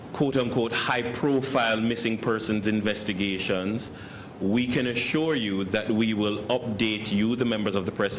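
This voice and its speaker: A man moderating a press briefing, formally relaying journalists' questions in a measured, official manner. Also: on the low side, fairly bright tone. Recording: noisy online press briefing audio.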